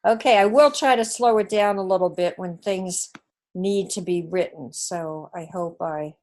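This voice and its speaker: Slow, methodical New Zealand woman teacher. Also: fairly low pitch, darkish tone. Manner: slow, methodical, talks through scoring step by step. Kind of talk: online class lecture.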